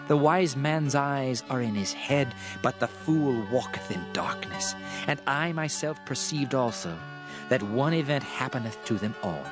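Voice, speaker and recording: Music plays clearly behind a solemn king acted by a man, reflective and weary of the world. He declaims dramatized scripture in measured phrases, with a mid-pitched voice. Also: animated, natural voice.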